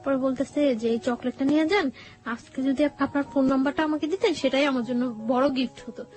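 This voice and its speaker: A woman with a Bangladeshi accent speaks in a high voice, sharing a personal story as a guest. She goes haltingly and circles back over her phrases. Music plays behind her. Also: fairly even intonation, darkish tone. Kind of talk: personal storytelling in conversational talk.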